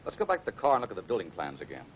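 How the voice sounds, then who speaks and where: An actor playing a resolute crime-fighting hero in a 1940s film serial, speaking in a terse, commanding way. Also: male voice, deep voice, wildly swooping pitch, very fast, a little hiss or hum. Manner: terse, matter-of-fact, commanding. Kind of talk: 1940s movie serial acting. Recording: muffled old 1940 film soundtrack, music.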